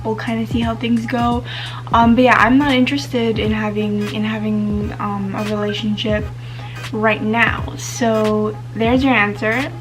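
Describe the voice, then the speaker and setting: A woman's voice: a little high, flat delivery, measured pace, over music. A teenage girl vlogging casually to camera, chatty and full of fillers, whose first language is Spanish. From United States. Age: teens.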